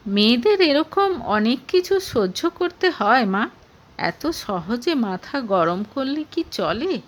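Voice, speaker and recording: A gentle middle-aged mother from an audio romance, as a female narrator plays her. She advises patience soothingly, in a high voice at a slow pace. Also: very animated.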